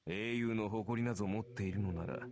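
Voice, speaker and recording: A man's voice: fairly low pitch, steady tone, racing, a crowd in the background, lightly acted. A man playing a cynical, taunting male warrior in a Japanese visual novel. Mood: mocking, cool. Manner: taunting, sardonic. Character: cynical, smirking male archer warrior, mocking honour and pride.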